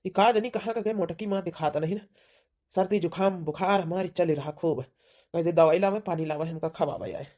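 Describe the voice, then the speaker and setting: A woman's voice: lowish voice, a little fast, mellow, close to an everyday voice. A woman voicing a sick, grumbling, bossy village husband in an Awadhi-accented comedy cartoon. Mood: ailing, complaining. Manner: complaining, bossy.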